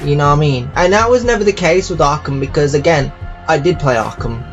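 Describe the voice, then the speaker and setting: A man giving casual, rambling game commentary like an audio log, halting and often circling back to repeat his phrases. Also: fairly high pitch, soft background music. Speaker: YouTuber reviewing games.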